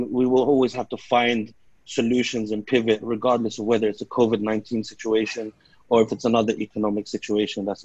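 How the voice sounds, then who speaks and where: Man on a flat, dull video call. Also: lowish voice, no echo. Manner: rambling, with many restarts and fillers. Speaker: panelist. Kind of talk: video panel discussion. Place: small room with a plain wall. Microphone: earbuds on a video call.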